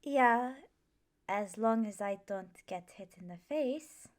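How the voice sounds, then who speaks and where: A woman plays a young female student of magic in an ASMR roleplay. She is friendly, forthright and self-assured, chatting in a fairly high voice. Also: lively intonation, slow pace. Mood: eager. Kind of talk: ASMR roleplay, casual introduction.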